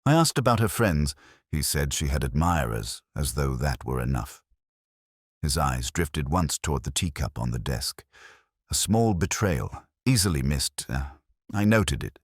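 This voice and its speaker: A man giving a measured, brooding first-person narration of a detective story. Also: a bass voice, very animated, no echo, studio quality. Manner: measured, brooding, literary delivery.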